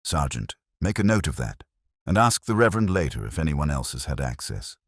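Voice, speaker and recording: Man playing a deep, courteous police inspector. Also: big pitch swings, no room sound, studio quality, heavily acted. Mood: calm probing. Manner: measured, gentle questioning. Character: courteous, quietly incisive male police inspector. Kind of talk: mystery story dialogue.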